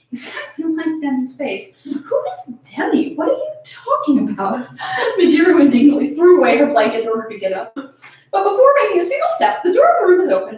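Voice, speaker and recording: Animated high-voiced American woman, echoey room. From United States. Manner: giggly, playful, foul-mouthed. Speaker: co-host of a fan fiction reading. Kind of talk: casual chat and dramatic comic reading. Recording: echoey room, distant from the mic.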